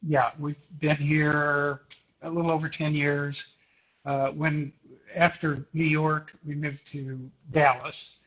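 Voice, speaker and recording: A middle-aged man from Houston, a guest photographer, talking hesitantly with many pauses and restarts in a live interview over a video call. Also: on the high side, flat delivery, fairly bright tone, lo-fi sound. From Houston, Texas.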